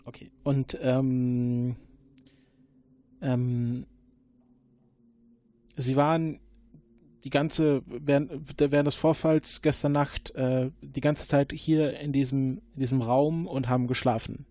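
A man's voice: medium pitch, steady tone, slow, pauses often, mellow, dry recording, close to an everyday voice. A man playing a time-travel agent who questions a suspect in a probing, dryly sarcastic way, in an audio drama. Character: probing male time-travel agent, adult, sardonic interrogator.

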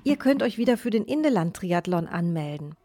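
A woman reads a scripted youth radio announcement in a slightly low, mellow voice. The sound is dry, with faint music behind her. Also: sing-song, fairly quick, no room sound.

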